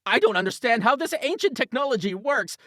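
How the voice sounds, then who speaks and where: A woman's voice: a little high, lively intonation, fairly quick, a bit bright, no echo, clean studio sound. A woman playing a grumbling, impatient mystic sidekick in a comedic audio drama, irritable and bossy.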